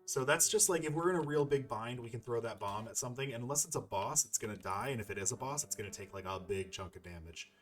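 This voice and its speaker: A man hosts a video game playthrough in a middle-pitched voice. He talks casually, thinking aloud and rambling through his commentary. Faint music plays behind him. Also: expressive, quick pace, hardly any pauses.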